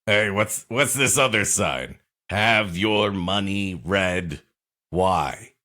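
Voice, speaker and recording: A man's voice: deep voice, lively intonation, takes it slowly, bright, forceful tone. A man co-hosting a comedy podcast, riffing in casual, wry commentary. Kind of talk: casual comic commentary and banter.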